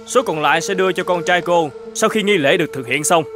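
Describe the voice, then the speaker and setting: A man voicing a greedy, scheming middle-aged feudal lord in a cartoon, lively and given to shouting. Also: high-pitched, fast talker, lightly acted. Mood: commanding. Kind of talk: cartoon dubbing. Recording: music playing under the voice.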